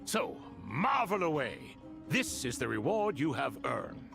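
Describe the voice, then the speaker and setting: A man's voice: medium pitch, sing-song, a little slow, gravelly, over music, exaggerated voice. A man voicing a wry, grand ancient king spirit in a video game, with theatrical and ornate phrasing. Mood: proud.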